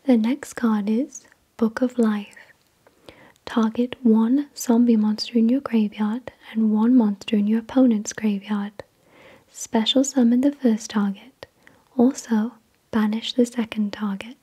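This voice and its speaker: A woman whispering in a slow, deliberate, soothing way, reading aloud in ASMR style with a breathy, unvoiced delivery. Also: a little high, fairly even intonation, warm tone. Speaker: ASMR video host.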